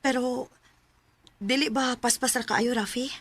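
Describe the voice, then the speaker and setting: A woman playing an affectionate, trusting young student and younger sister in a radio drama, with gentle, expressive delivery. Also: fairly high pitch, a little slow, no room sound. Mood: shy, tentative.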